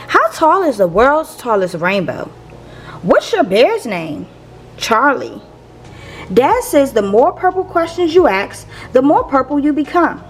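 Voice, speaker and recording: A woman reading a picture book aloud to young listeners in a playful, expressive teacher's manner. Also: a little high, a little hiss or hum. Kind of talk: expressive children's read-aloud.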